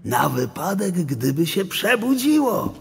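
A man's voice: high voice, big pitch swings, unhurried, vocal fry, a little hiss or hum, voice pushed up, heavily acted. A man voicing a kindly, anxious old sage in an acted children's audiobook. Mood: hushed, excited. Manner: breathless, stammering when alarmed, whispering when alone.

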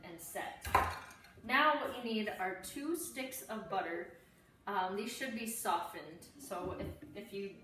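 A woman in her thirties giving casual step-by-step cooking instructions as a home cook in her kitchen. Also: mid-range voice. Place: home kitchen, indoors, dish clatter behind. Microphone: camera a few steps away. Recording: roomy, distant camera mic with clear noise.

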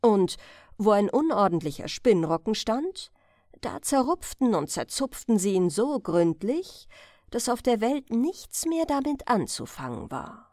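Slow, expressive woman storyteller. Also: on the high side, no echo, clean studio sound. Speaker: audiobook narrator, storyteller. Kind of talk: scripted fairy tale narration, bedtime storybook reading.

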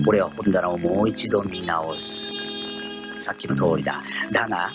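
An actor playing a cocky, proud gentleman thief, narrating his own exploits theatrically in a radio drama. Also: fairly low pitch. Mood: shrewd, composed. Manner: theatrical, self-assured storytelling. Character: cocky gentleman thief, proud self-styled genius, wry. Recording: dull lo-fi archive radio recording, loud music.

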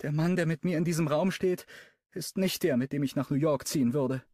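A man reading an audiobook and voicing a fiery, sharp-tongued young woman in a raised, high register. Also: brisk, no room sound, close to an everyday voice. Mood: angry.

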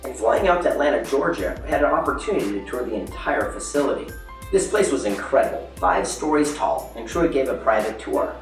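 A man's voice: mid-range voice, expressive, brisk, vocal fry, roomy sound, recorded from a distance, faint music behind. A man in his forties hosting a documentary, narrating in an upbeat, chatty way and laughing often. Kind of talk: casual documentary vlog narration. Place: various indoor and outdoor locations.

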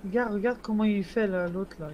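A woman's voice: mid-range voice, a little fast, dull audio. A woman chatting casually in an online police role-play game. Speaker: player acting as police captain. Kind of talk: casual gaming chat. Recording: faint noise, game ambience.

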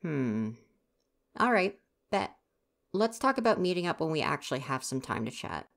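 Low-voiced grumpy stagehand played by a woman. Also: very animated, moderate pace, vocal fry, a bit bright, heavily acted. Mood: brisk, grudging. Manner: sarcastic, chatty, gossipy. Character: grumpy, teasing tsundere theater stagehand, secretly caring. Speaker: roleplay voice performer. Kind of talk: ASMR roleplay, casual one-sided dialogue.

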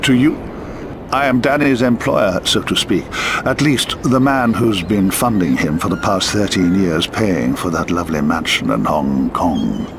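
An actor playing a weary, urbane old spymaster in a video game, musing theatrically and cryptically in drawn-out phrases. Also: male voice, low voice, background noise. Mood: solemn, cryptic. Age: older. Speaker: mysterious spymaster. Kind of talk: video game cutscene dialogue and narration.

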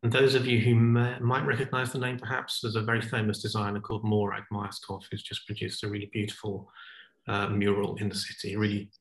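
A man with a lowish, very flat voice in a roomy, echoing space. He explains informally as a panellist on a video call. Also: a little fast. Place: indoor room, video call.

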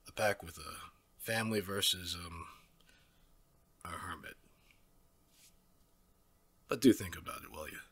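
A menacing male werewolf alpha for an audio drama, acted by a man. The voice is raspy and forceful, drawn out slowly with smug, mock-polite condescension. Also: sits low, heavily acted. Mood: condescending, veiled threat. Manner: slow, condescending, mock-courteous. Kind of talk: ASMR audio drama acting.

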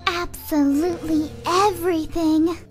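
A woman voicing a shy, soft-hearted young pony in a cartoon fandub, gentle and cooing. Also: extremely high pitch, fairly even intonation, measured pace, faint music behind. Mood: doting.

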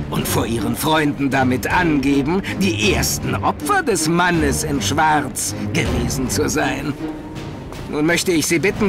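A theatrical male robber villain, speaks in a higher voice with big melodic swings. He is mock-polite, smug and threatening, in audio drama style over loud music. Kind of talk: German audio drama acting.

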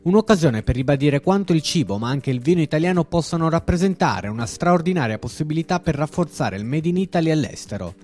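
A male narrator reads a scripted report-style voice-over at a brisk clip. His delivery rises and falls in a lively way, sitting a little high for a man.

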